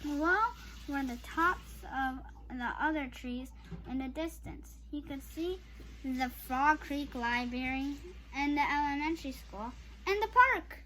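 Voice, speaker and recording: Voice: high voice, measured pace, bright, forceful tone, slight background noise, rough recording. A child reading a children's chapter book aloud to listeners in an informal way. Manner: informal, apologizes and speaks straight to listeners. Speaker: storybook reader for online viewers.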